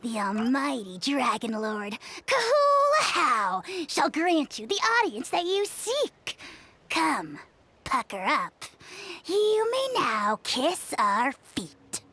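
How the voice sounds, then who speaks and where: A woman voicing a tiny, arrogant dragon lord in a fantasy game, speaking in a pompous, grandiose, theatrical manner and quick to anger. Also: sits high, huge pitch swings, sharp tone, slight background noise, exaggerated voice. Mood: haughty, gloating.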